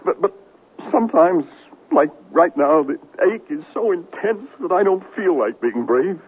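An actor playing a vain, manipulative, self-pitying newsman in a radio drama, with a theatrical, stammering manner and a husky voice. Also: high-pitched, animated, unhurried, slight background noise. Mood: pitiful, stammering. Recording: muffled lo-fi 1970s radio drama recording.

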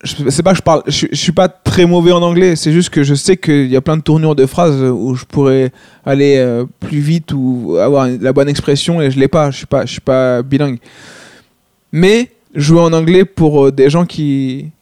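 Comedian type, male, thinks aloud in halting chat; a little high-pitched, recorded dry. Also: steady tone, darkish tone, no echo. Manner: hesitant, many restarts and fillers. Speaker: stand-up comedian. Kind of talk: casual conversation.

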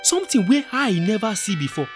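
Man acting older Nigerian village man, scornful, stubborn, emphatic, highly animated intonation, radio drama, music underneath. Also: brisk. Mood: indignant, judging. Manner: emphatic, scoffing, with repeated exclamations.

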